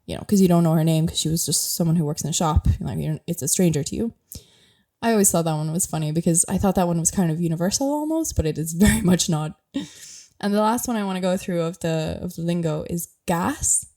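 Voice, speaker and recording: A woman's voice: on the low side, expressive, fast, dark tone. A young Irish woman with a mild Irish accent hosting a podcast, chatting casually while sick with a cough and laughing often as she explains things. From Ireland. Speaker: podcast host.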